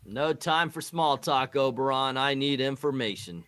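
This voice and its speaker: A man's voice: medium pitch, normal speed, noisy background, natural voice. A man playing an irritable, commanding American superhero captain who barks orders in an amateur comic book reading. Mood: curt, commanding. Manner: barking orders, blustering.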